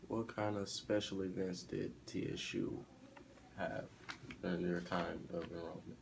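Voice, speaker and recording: A man's voice: deep voice, level tone, unhurried, gravelly, noisy background. A man acting as a student interviewer, asking short plain questions for an oral history project.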